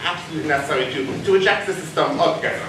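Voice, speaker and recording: A man on stage playing a flustered, evasive asylum director, hesitant and hurried but formal. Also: on the high side, natural voice. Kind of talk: amateur stage acting. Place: school theatre stage. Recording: very noisy, echoey, distant, clicks.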